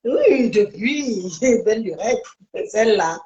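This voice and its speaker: Animated woman hosting in an echoey room. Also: on the high side, slow, dull audio. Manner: insistent, interrupting. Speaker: host of a social media live. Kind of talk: casual animated chat.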